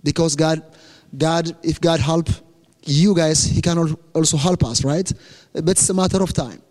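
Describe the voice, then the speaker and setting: An earnest young man with an Ethiopian accent, whose first language is Amharic, gives a church testimony in plain, direct English. His voice sits a little high for a man, and it rings with a long reverb through a large hall. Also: steady tone, moderate pace, darkish tone. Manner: earnest, plain-spoken, self-correcting, joking about his accent. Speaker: guest speaker at a church.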